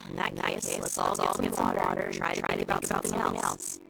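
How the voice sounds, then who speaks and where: Creaky-voiced, level-headed young leader. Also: male voice, very deep voice, monotone, fairly quick, full character voice. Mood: encouraging. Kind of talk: anime game voice acting. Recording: loud music behind the voice.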